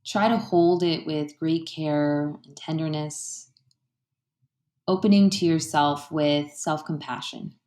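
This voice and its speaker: Low-voiced woman guiding a meditation, roomy echo. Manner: gentle, soothing, unhurried delivery with pauses. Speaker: meditation guide. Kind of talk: guided meditation. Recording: roomy, echoey sound.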